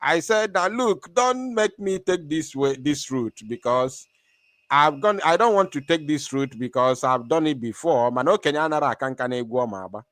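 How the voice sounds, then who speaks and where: A man's voice: fairly high pitch, lively intonation, moderate pace, a bit bright, dry recording. A man hosting an online stream, talking in a defiant, taunting way, laughing often and repeating his phrases. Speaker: online show host. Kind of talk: animated live-stream monologue.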